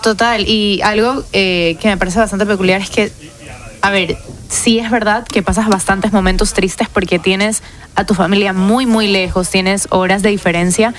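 A young woman from Ecuador, speaking warmly and gratefully on a radio show. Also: medium pitch, steady tone, normal speed. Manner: warm, grateful. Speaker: radio contributor.

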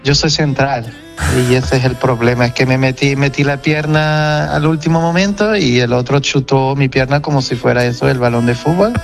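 A man from Portugal with a Portuguese accent, speaking as a guest musician in a relaxed interview, talking frankly and reflectively. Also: medium pitch, moderate pace, gravelly, faint music behind. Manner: frank, reflective, self-correcting. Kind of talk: casual interview chat.

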